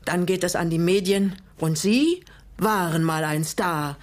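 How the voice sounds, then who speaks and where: Casting: woman for a radio crime play, acting a steely older widow set on justice, imperious and sharp-tongued. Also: on the low side, a little slow, close to an everyday voice. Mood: cold, threatening. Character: bitter, determined widow out for justice, commanding.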